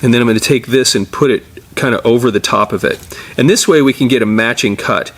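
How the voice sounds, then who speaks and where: A man's voice: medium pitch, quick pace, fairly bright tone. A middle-aged man presenting a casual hands-on tutorial as a repair technician, explaining informally step by step while he works.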